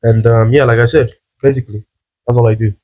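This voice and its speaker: Relaxed husky man from South America. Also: medium pitch, fast. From Brazil–Paraguay–Argentina border region. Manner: relaxed, repeats phrases, agreeable. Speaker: guest, content creator and language teacher. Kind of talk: casual interview.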